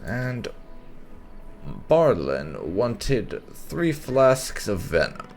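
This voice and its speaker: A man hosting a casual video game playthrough, haltingly thinking aloud as he comments. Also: medium pitch, big pitch swings, darkish tone. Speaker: gaming channel host. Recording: game ambience faintly behind commentary.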